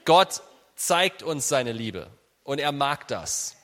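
A man preaching an informal sermon in an animated, conversational way, speaking directly to his listeners. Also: mid-range voice, takes it slowly. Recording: reverberant hall sound.

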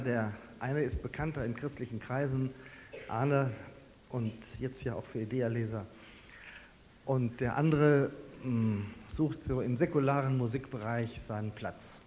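A man in his sixties from Westphalia, preaching to a small gathering in a conversational, personal way with lively emphasis. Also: mid-range voice. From Westphalia, Germany. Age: 60s. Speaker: pastor. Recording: reverberant hall with faint hiss.

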